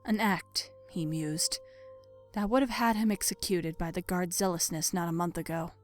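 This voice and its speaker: A woman reading scripted dark fiction as a narrator, measured and dramatic. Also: fairly low pitch, fairly even intonation, faint music behind.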